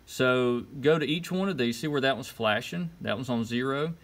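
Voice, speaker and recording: A man's voice: mid-range voice, normal speed. A man giving an informal how-to demonstration, talking through each step in short, casual instructions. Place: indoors at a desk. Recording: clear background noise, clicks and beeps. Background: button clicks and electronic beeps.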